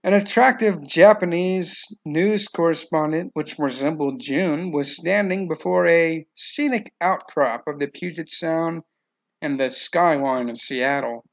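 Male novel narrator, slightly high pitch with gravelly fry, reading from a script, lo-fi sound. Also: measured pace.

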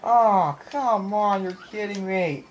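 A man's voice: sits high, takes it slowly, dull audio, a little hiss or hum. A man giving casual, off-the-cuff commentary as he plays a video game, muttering reactions and apologetic asides. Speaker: gaming video maker, player. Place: small room at home, filming a TV screen. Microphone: handheld camera or phone mic. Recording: camera mic in room, game music. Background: video game music.

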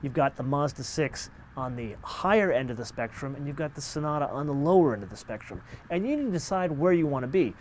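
A middle-aged man hosting a car show, explaining features in a lively, animated way outdoors and behind the wheel. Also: on the high side, a little fast, hardly any pauses, slight background noise. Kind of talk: car review presentation.

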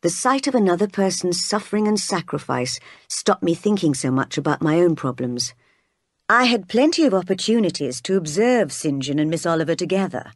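Audiobook narrator, medium pitch, expressive with dialogue, close dry recording. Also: a little fast, no echo. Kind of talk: scripted storybook narration, read aloud.

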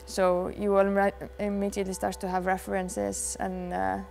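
A soft-spoken Icelandic dancer in her thirties, answering interview questions thoughtfully with many pauses, in a dark dance studio. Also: mid-range voice, completely flat delivery, gravelly, faint music behind. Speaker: dancer and choreographer.

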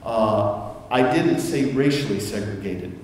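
A middle-aged man preaching a sermon to his congregation in a relaxed, conversational way, with asides and restarts. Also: lowish voice, measured pace, slight background noise. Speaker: pastor. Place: church sanctuary. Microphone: far camera, room sound. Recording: echoey church hall from far off.

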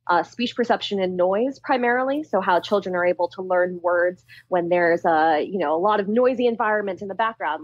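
Woman scientist in her thirties, animated and chatty, dry and a bit lo-fi. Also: medium pitch, no room sound. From Philadelphia, US. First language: Tamil. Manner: laughs often, chatty and animated. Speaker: guest scientist. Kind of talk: casual interview chat.

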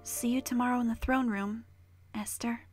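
A woman playing a devout, protective pony mare who captains the guard, speaking with earnest, solemn care in an animated fan drama. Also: fairly high pitch, normal speed, soft background music, lightly acted. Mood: dutiful.

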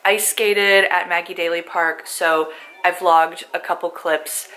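A woman in her twenties, a native Polish speaker, chatting casually to a handheld camera in a self-mocking vlog style, voice a little hoarse. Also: lowish voice, fairly even intonation, a little slow, sharp tone, rough recording. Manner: chatty, self-mocking, sprinkled with likes and ums. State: slightly hoarse. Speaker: vlogger. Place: apartment living room, car and store. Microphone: handheld camera close up.

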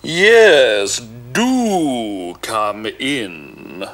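A man voicing a huge, boastful male ogre who booms his words slowly and sneers, with music behind. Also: very animated, a bit bright, heavily acted. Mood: booming. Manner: booming, slow and drawn-out. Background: background music.

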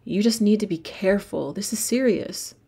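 A woman's voice: medium pitch, moderate pace, gravelly, mellow, husky. A woman playing a worried, cautious sister in an audio drama, speaking in an urgent, pleading way. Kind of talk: ASMR audio drama acting.

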